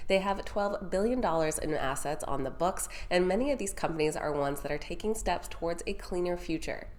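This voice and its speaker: Young female market-video host, low-ish and nasal with sinus congestion, upbeat scripted delivery to camera. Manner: upbeat, direct address to viewers. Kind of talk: scripted market news presenting.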